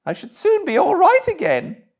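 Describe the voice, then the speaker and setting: Man putting on a feeble falsetto old washerwoman. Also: wildly swooping pitch, moderate pace, gravelly, heavily acted. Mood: feeble, meek. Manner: feeble, sweetly polite. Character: sly toad posing as meek, polite old washerwoman.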